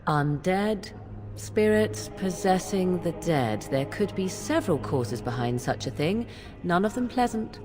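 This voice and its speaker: A woman's voice: lowish voice, animated, measured pace, big-room sound, music in the background. An actress playing a sardonic, aloof woman mage in a game. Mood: knowing.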